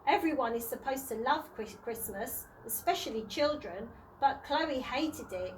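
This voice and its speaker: A woman reading a children's storybook aloud in a deliberate way, sometimes stumbling and rereading words. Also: a little high, expressive, slight background noise, rough recording. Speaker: early years practitioner reading to children.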